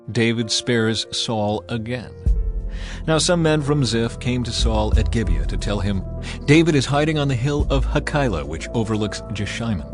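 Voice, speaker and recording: Man reading scripture over soft music. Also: mid-range voice. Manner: measured, solemn. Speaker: narrator.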